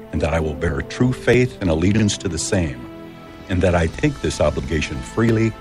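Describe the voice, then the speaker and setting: A man solemnly reciting an oath in an advertisement. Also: low voice. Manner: solemn, grave. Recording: music bed behind.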